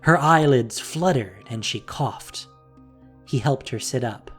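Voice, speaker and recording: A narrator with a measured, dramatic storytelling manner, reading a scripted audio drama. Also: medium pitch, faint music behind. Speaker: podcast narrator and producer.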